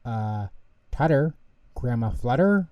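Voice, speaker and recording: A man's voice: medium pitch, lively intonation, slow pace, smooth, highs cut off. A man voicing a kindly big male bear in a storybook, helpful and reassuring, recorded in an echoey room. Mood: inquiring. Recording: echoey room, distant mic.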